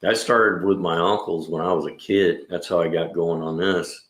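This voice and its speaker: A man's voice: low voice, fairly even intonation, moderate pace, fairly bright tone. A man in his sixties hosting a casual livestream chat, laid-back and rambling, often saying "man" and "you know.". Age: 60s. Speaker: livestream host and mechanic. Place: garage. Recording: echoey room.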